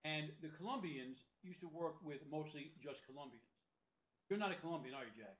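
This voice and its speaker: A man's voice: a little high, animated, fairly quick, a bit bright. A man hosting an interview, reminiscing energetically with his guest. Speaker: host. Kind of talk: interview conversation. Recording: dull lo-fi sound in an echoey room.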